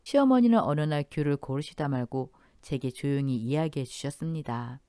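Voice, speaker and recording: Low-pitched, bright-edged but gentle female storyteller. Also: lively intonation, normal speed, no room sound. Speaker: narrator of a story channel. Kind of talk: scripted first-person story narration.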